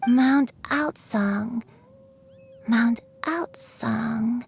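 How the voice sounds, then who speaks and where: A woman playing a frail, forgetful old grandmother in a video game, with a gentle, halting, wistful manner. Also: a little high, slightly rough, full character voice. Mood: distressed. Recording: faint music under the voice.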